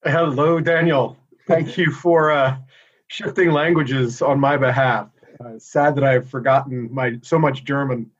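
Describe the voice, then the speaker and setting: A middle-aged American man talks through his work in a relaxed, thoughtful way, pausing often with small fillers. His voice sits at a middle pitch. The audio is a little dull, as over a remote call. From United States. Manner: relaxed, thoughtful, many "uh" pauses. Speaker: inventor and guest. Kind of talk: casual interview chat.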